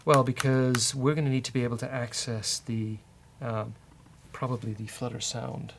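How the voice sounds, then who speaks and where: Gravelly-voiced man thinking aloud. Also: mid-range voice, flat delivery, moderate pace, slight background noise. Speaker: co-host coding on a software show. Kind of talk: casual live coding commentary, thinking aloud.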